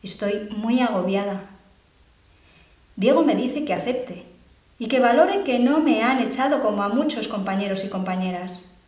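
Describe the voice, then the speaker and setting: A woman's voice: a little high, expressive, darkish tone, close to an everyday voice. Brief: actress as anxious twenty-something woman, earnest stage monologue, roomy echo. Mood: worried. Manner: earnest, measured storytelling. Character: Valentina, 27, worried precarious young sociologist. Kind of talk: theatrical monologue. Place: university theatre classroom.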